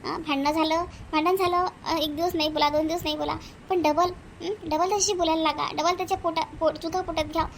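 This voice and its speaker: A woman's voice: extremely high pitch, steady tone, brisk, a little hiss or hum. A woman playing a patient, motherly elder sister-in-law in a cartoon family drama, advising with repeated phrases in a Varhadi Marathi accent. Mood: consoling, advising.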